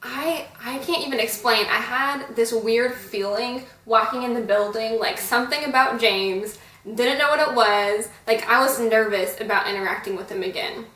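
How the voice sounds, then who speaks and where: Young woman chatting on camera, kinda high and bright, giggly, sounds a bit far from the mic. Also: moderate pace, reverberant. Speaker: vlogger. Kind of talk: casual storytelling chat.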